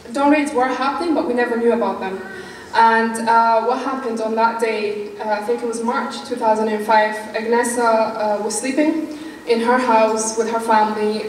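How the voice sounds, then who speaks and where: A woman's voice: fairly high pitch, far from the mic, a little hiss or hum. Young woman telling a story in an echoey hall. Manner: lively, quick, acts out quoted voices. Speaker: panel speaker. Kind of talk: storytelling speech. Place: large conference hall.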